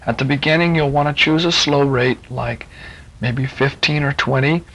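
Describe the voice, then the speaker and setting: A man's voice: medium pitch, steady tone, moderate pace, a bit bright, rough recording. A man giving a patient, encouraging spoken tutorial as an instructor, explaining each step plainly on a 1970s educational cassette.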